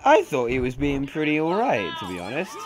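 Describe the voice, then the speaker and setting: A young man narrating his gameplay, sarcastic and sweary, with a stuffed-up nasal sound. His delivery rises and falls in a lively way as he riffs along, and faint game music sits behind him. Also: mid-range voice, a little fast. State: congested. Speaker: let's play commentator. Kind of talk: casual gameplay commentary.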